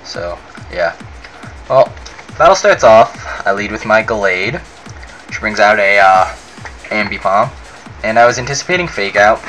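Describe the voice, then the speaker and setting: Excitable young man narrating a game. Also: on the low side, low-quality recording. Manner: chatty, excitable, many fillers. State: sick, voice a bit off. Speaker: game commentator. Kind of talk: narrating a video game battle. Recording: faint music behind.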